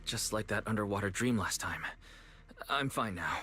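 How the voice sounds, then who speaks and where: A man voicing a calm, dependable and friendly young adventurer in a video game. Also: fairly low pitch, normal speed, slightly rough, soft background music, exaggerated voice. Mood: thoughtful.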